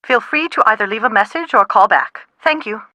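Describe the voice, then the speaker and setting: A mother on the telephone in a video game. Her voice is bright and forceful, somewhat high, with lively intonation. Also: a little fast, no echo. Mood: recorded greeting. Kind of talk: game character phone dialogue.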